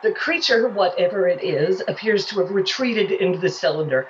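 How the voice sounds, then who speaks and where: A woman's voice: medium pitch, animated, recorded from a distance, lo-fi sound, slight background noise, close to an everyday voice. A woman playing a frantic field reporter in a 1930s-style radio drama, breathless and dramatic as she describes an alien attack. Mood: tense, observing. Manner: breathless, dramatic, halting with shock.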